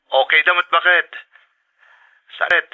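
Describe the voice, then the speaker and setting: A man's voice: on the high side, level tone, measured pace, clean tone. A man acting in a drama as a husband calling from home, with a Filipino accent, heard through a phone filter. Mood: caring, plaintive. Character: husband back home caring for the children. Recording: thin, band-limited phone call.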